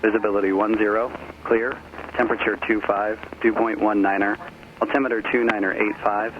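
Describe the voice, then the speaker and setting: A man's voice: fairly low pitch, level tone, fairly quick. A man reading a clipped, formulaic airport information broadcast over aviation radio. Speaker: airport weather information announcer. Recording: dull, noisy aircraft radio reception.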